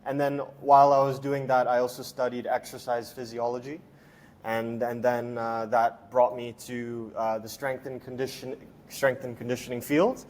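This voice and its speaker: A man's voice: mid-range voice, moderate pace. Young male coach, from Hong Kong; casual, rambling, filler-heavy interview talk. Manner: relaxed, chatty, many fillers and restarts. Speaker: strength and conditioning coach.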